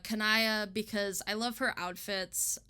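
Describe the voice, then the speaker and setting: A woman's voice: mid-range voice, steady tone, a little slow, sharp tone, clear, resonant tone. Casual, giggly woman in an interview. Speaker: illustrator being interviewed.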